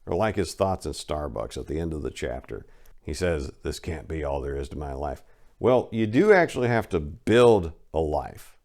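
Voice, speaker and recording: A man with a very low voice reads a memoir aloud with dramatic weight. He pushes hard on the big phrases and then drops into quieter, offhand asides as he comments. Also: big pitch swings, moderate pace, vocal fry. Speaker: narrator reading a memoir and commenting.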